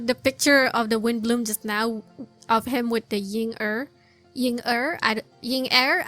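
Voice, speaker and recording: A woman's voice: fairly high pitch, pushed and bright, dry recording. A woman giving casual gameplay commentary, hesitant and stammering, often repeating her words. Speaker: game streamer reacting to story dialogue.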